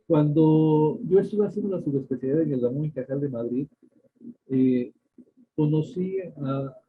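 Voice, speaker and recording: An older man with a Mexican accent, a doctor answering interview questions in a measured way, pausing often and restarting his sentences. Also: a little high. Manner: measured, many hesitations and restarts. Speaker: ophthalmologist guest. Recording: tinny phone-like line.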